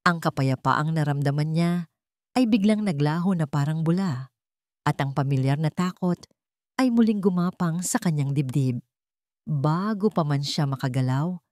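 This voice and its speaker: Low-voiced Filipina narrating a romance story. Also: female voice, darkish tone, dry recording, clean studio sound.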